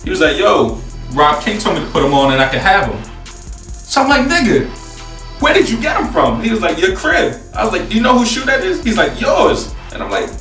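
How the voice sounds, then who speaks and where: A man in his twenties with a New York accent, telling a story casually to camera in a slangy, profane, animated way. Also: high-pitched, a little fast. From New York City, USA. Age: 20s. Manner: slangy, profane, animated retelling with acted-out dialogue. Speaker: host. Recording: roomy echo, distant mic, faint music.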